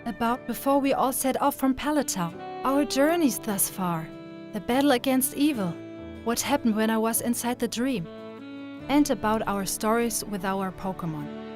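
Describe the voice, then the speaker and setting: Woman doing young anime trainer girl, earnest and expressive, highish voice, music underneath. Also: normal speed, lightly acted. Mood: reflective. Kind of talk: anime-style manga dub acting. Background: background music.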